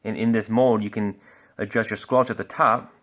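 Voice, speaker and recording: A man's voice: on the low side, fairly even intonation, moderate pace, fairly bright tone. Man casually walking through a product demo. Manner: informal, step-by-step explaining. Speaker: product demonstrator and scanner sales expert. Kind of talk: casual product review and walkthrough.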